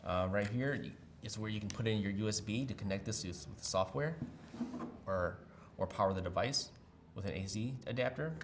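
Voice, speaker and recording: Low-voiced man demonstrating a product, noisy background. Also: moderate pace. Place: room with brick wall, at a table.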